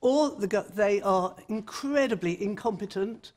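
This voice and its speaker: A woman in a debate audience giving a spontaneous, exasperated comment in a halting way. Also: medium pitch, a little mellow. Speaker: audience member.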